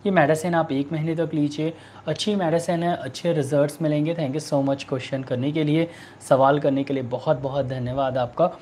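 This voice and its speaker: A man in his thirties with an Indian accent, a doctor answering viewer questions in a halting, repetitive way. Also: on the high side, steady tone, darkish tone, slight background noise. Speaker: homeopathic doctor hosting a live online clinic. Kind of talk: answering viewer questions, giving remedy advice. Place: small furnished room indoors.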